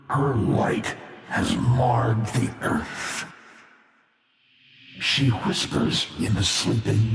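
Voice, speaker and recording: A man's voice: mid-range voice, vocal fry, full character voice. A male actor playing an ancient, brooding alien war-being in a video game, grave and scornful, with solemn, portentous delivery drenched in reverb. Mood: solemn, mournful. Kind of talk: theatrical scripted video game voice acting. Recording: cavernous long reverb, faint background noise.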